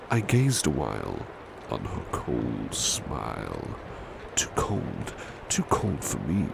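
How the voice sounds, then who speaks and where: Man acting as very deep, gravelly butler. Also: background noise, exaggerated voice. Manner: formal, slightly prickly, dramatic verse delivery. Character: male butler servant, formal, competitive, touchy. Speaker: servant reading poetry at bedtime. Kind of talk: soft-spoken ASMR roleplay, poetry reading.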